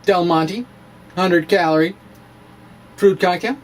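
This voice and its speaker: A man's voice: sits high, slow pace, noisy background. A man presenting a science vlog as a dramatic reading mixed with casual show-and-tell, with theatrical, emphatic phrasing and playful asides. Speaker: science vlogger presenting his own blog.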